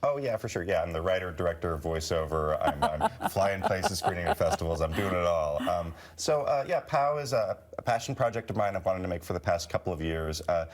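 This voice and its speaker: American guy, deep voice, talks quick and rambly, casual tv interview guest plugging his film. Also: lively intonation. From United States. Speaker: guest, animated film writer-director. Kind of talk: casual promotional TV interview chat.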